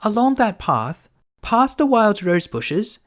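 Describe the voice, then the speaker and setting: A man puts on a sweet little girl from a storybook, pitched very high. She sounds innocent and polite, with a sing-song swing to her words. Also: big pitch swings, takes it slowly, exaggerated voice. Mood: innocent, cheerful. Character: sweet, trusting little girl in a fairy tale.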